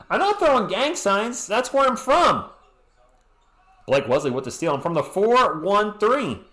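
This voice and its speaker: A man's voice: high-pitched, very animated, unhurried, long pauses, bright, forceful tone. Chatty play-by-play man, roomy echo. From Western Massachusetts. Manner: chatty, answers chat messages with joking asides. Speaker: sports stream host. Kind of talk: live play-by-play commentary.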